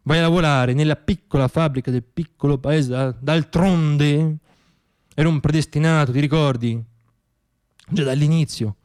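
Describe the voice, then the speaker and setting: A man performing a theatrical radio monologue as a stage storyteller, reciting rhythmically and declaiming with dramatic pauses. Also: a little high, a little mellow, clean tone. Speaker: solo stage actor and storyteller.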